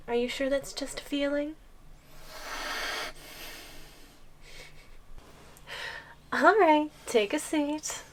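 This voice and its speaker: A woman acts out a possessive giantess girlfriend in a high, very unhurried voice. She teases sweetly with menace underneath, in the manner of an ASMR audio drama. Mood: playfully controlling. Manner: slow, playful teasing with frequent giggles.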